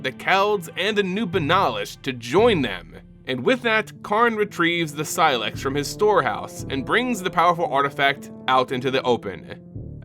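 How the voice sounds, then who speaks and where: A man narrating a fantasy game's story as a dramatic lore reading, in a lively storytelling manner. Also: fairly high pitch. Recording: music bed underneath.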